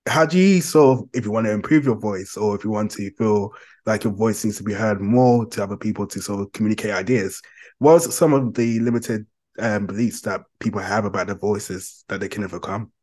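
A man with a fairly low voice hosts a podcast interview. He frames his questions loosely, circling and restarting with friendly fillers before he lands on his point. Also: fairly quick, darkish tone. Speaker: podcast host.

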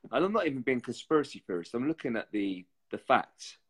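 A man speaks at an average pitch as a guest in a casual conversation. He is reflective and a little halting, often stopping to restart a thought before he carries on. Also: big pitch swings, slightly rough. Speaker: guest, former reality TV contestant. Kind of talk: casual interview chat.

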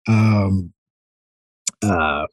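A man talking as a podcast guest in casual interview chat, enthusiastic, informal and rambling, with a slightly husky voice. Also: deep voice, extremely slow, dry recording. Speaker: podcast guest, audio drama creator.

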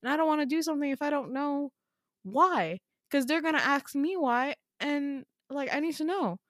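A woman's voice: high voice, moderate pace, a bit bright, no room sound, clean studio sound, voice pushed up. A woman voicing a frightened daughter in a story, pleading and questioning urgently. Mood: anxious insistent.

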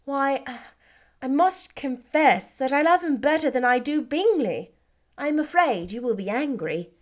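A woman's voice: high-pitched, expressive, measured pace, pitched above the performer's own voice. A woman voicing a spirited, witty young woman who talks playfully and teasingly.

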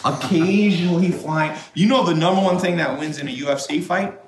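A man hosting a casual motivational talk, blunt and animated, swearing and jumping between topics. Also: fairly high pitch, a little fast. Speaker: host. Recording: echoey room, faint noise.

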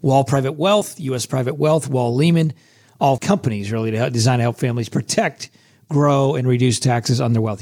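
A man hosting a motivational podcast, talking in a casual, chatty way with a hoarse, scratchy voice. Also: mid-range voice, darkish tone. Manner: casual and chatty, with many restarts. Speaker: podcast host.

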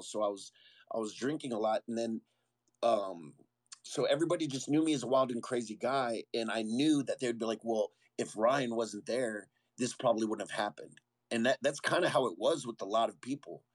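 A man's voice: medium pitch, normal speed, no echo, low-quality recording. A man, a former Marine, telling his own story as an interview guest in a candid, earnest way, often stopping and restarting.

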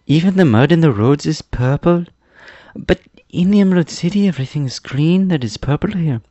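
A man voicing an eager, proud young boy adventurer in a storybook, explaining things excitedly. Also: on the high side, fairly quick, no echo, highs cut off, voice pushed up.

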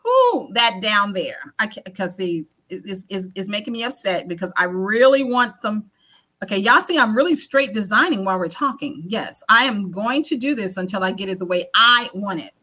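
Woman, middle-aged, from washington dc, lively pitch, cheerful laughing chat. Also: normal speed. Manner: laughing, exclaiming. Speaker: podcast co-host. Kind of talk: casual morning chat.

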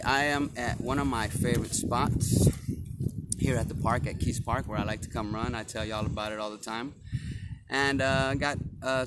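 A man hosting a casual interview podcast in a park, talking in a relaxed way with lots of fillers. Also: medium pitch, heavy background noise. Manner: relaxed, filler-heavy, friendly. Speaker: podcast host. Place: outdoors in a park.

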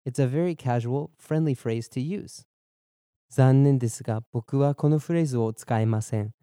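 Podcast language teacher, young man, deep mellow tone, measured and clear, dry studio sound. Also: no echo. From born in Germany, raised in Boston. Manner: clear, measured explanations, slows down for repeat drills. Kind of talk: bilingual lesson narration, Japanese and English.

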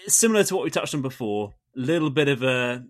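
Man, podcast host, middle pitch voice, relaxed, steers the talk. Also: fairly quick. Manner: relaxed, steers the conversation and hands out turns. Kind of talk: casual football podcast chat.

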